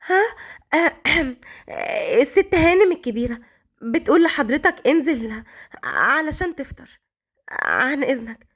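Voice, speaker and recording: A woman's voice: sits high, very animated, a little slow, pauses often, a bit bright, heavily acted. A woman doing a timid, frightened young maid. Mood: nervous. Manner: hesitant, nervous.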